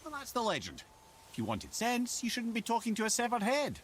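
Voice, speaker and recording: An actor playing a witty old severed-head sage in a video game, talkative and wry. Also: male voice, very high-pitched, huge pitch swings, fairly quick, fairly bright tone, slight background noise, heavily acted. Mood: playful, bantering. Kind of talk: video game character acting.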